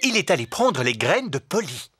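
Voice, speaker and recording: A woman narrating a preschool cartoon in simple, warm, expressive storybook style. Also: low voice, normal speed. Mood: amused, narrating. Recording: loud music under the voice.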